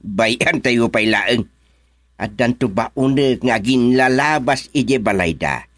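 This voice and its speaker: A gossipy rural colleague in an Ilocano radio comedy. He talks slowly and haltingly in an Ilocano accent, peppered with interjections. The recording is dry. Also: mid-range voice, a bit bright, no echo. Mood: gossiping. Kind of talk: comedic radio drama acting.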